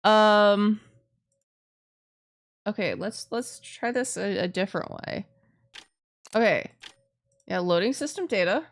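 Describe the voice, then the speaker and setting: A woman's voice: medium pitch, takes it slowly, long pauses. A woman streaming a game with casual, exclamatory live commentary, often repeating her phrases. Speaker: streamer playing a visual novel. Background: game music.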